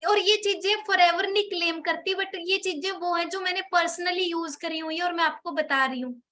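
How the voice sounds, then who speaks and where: A woman's voice: very high voice, steady tone, moderate pace, pushed and bright. A young woman from India talking persuasively about products, chatty and full of questions to the listener, with a slightly sore throat from a cold. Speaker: product seller explaining products.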